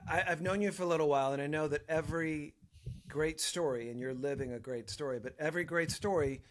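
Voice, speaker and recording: Playful higher-voiced male podcast host. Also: quick pace. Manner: playful, joking asides. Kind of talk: casual interview chat.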